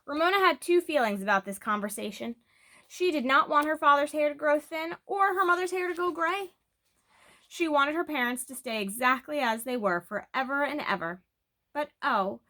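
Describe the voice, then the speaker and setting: A woman narrates a children's novel with a bright, crisp-edged delivery and a slightly high pitch. She reads expressively, like someone sharing a chapter book aloud, and is animated in her storytelling. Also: normal speed.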